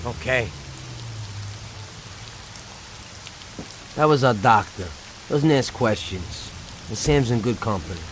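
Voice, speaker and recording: Tough gangster, male actor: mid-pitched, blunt and streetwise, hot temper, crime game scene with faint rainy noise. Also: lively intonation, moderate pace, close to an everyday voice. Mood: assured. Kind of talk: dramatic video game cutscene acting. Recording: rain and noise behind.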